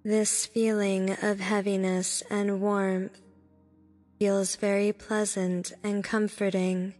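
A woman narrating a guided meditation in a soothing, deliberate manner, speaking in short instructive phrases. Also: medium pitch, flat delivery, a little mellow. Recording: long reverb with background music. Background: music.

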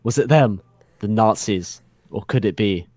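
A man's voice: on the low side, a little slow, heavy background noise. A man narrating a comic dramatic reading of fan fiction with mock solemnity.

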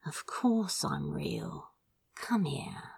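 A woman's voice: fairly low pitch, wildly swooping pitch, extremely slow, darkish tone, no room sound, far from the mic, full character voice. Woman voicing a murmuring, besotted male sorcerer. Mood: tender reassurance. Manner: breathy, murmured, half-whispered. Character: besotted male sorcerer, teasing, tender and poetic lover.

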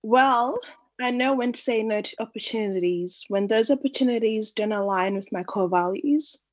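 A woman born in Zimbabwe and raised in Australia, answering interview questions in an earnest, measured way. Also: fairly high pitch. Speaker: interview guest, entrepreneur.

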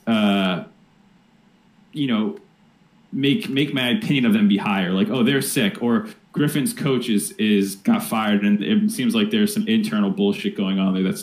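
A man's voice: lowish voice, echoey room. A male co-host on an esports talk show, giving his opinion casually, hedging and restarting as he thinks aloud.

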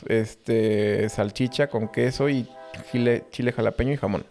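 A young man with a Mexican accent, co-hosting a podcast in relaxed, slangy, profane banter. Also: medium pitch, measured pace, vocal fry, faint music behind. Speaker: podcast co-host. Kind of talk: casual chat.

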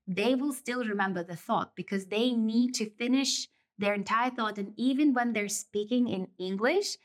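A woman's voice: a little high, moderate pace. Thoughtful Russian-native woman podcasting. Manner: thoughtful, explanatory, stretches and rephrases phrases. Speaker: podcast host. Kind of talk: reflective solo podcast monologue.

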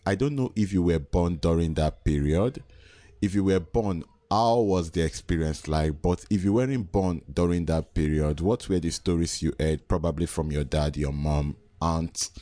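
A man from Nigeria hosting an interview, asking long, curious open questions in a relaxed conversational way. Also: low voice, normal speed, no echo. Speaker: host.

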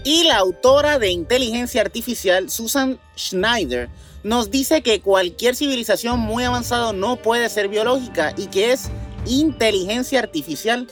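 A man's voice: very high-pitched, lively intonation, moderate pace, fairly bright tone, soft background music. A man with a Mexican accent hosting a mystery news show, mixing scripted narration with slangy, informal asides. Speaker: news host.

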